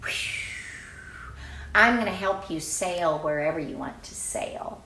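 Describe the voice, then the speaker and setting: A woman voicing a patient, loving mother rabbit from a storybook, giving gentle, steady reassurance. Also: lowish voice, slow pace, gravelly, roomy sound, a little hiss or hum, heavily acted.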